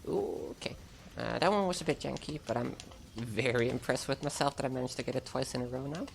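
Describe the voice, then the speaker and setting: A woman's voice: rumbling low, fairly quick, gravelly, slight background noise. A German woman with an American-sounding English accent, chatting casually and laughing often while commentating a speedrun of her game live.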